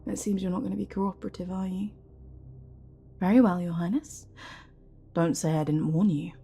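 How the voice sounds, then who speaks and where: A woman playing a scheming, smug evil royal advisor with magic in a fantasy audio drama, breathy and often near a whisper, taunting with mock courtesy. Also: lowish voice, fairly even intonation, dark tone, a little hiss or hum, close to an everyday voice. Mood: soft, menacing. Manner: theatrical, mock-courteous, taunting, drawn-out phrasing.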